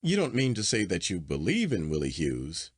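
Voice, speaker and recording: Man acting an earnest, animated gentleman sceptic. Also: low-pitched, moderate pace, breathy edge, dry recording, clean studio sound, full character voice. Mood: exasperated, insistent. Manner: emphatic, exclamatory, formal. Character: earnest gentleman narrator, contrite then exasperated sceptic. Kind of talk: dramatic storybook dialogue.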